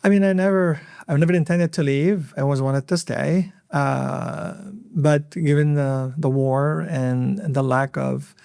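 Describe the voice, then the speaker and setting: A man in his fifties from Lebanon, an Arabic speaker, recounting his life in an earnest, reflective interview with many filler pauses. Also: fairly high pitch, steady tone, vocal fry, darkish tone, smooth, dry recording, clean studio sound. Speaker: hospital CEO, podcast guest. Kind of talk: interview storytelling.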